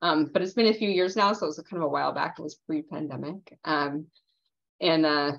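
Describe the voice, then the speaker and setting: A woman's voice: low voice, steady tone, fairly quick, vocal fry, a bit bright, muffled recording. A woman panelist talking hesitantly and casually over a video call, with lots of "like" and "kind of". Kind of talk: online panel talk.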